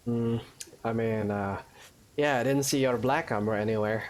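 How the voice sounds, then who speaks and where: A man's voice: medium pitch, moderate pace. A man giving casual, hesitant let's play commentary as he games. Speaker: gaming streamer.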